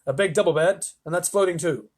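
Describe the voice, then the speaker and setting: A man voicing an excitable, cheeky storybook astronaut with a blunt, slangy manner. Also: high-pitched, brisk, slightly rough, voice pushed up. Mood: matter-of-fact. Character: excitable, cheeky male astronaut Shanks reporting by radio.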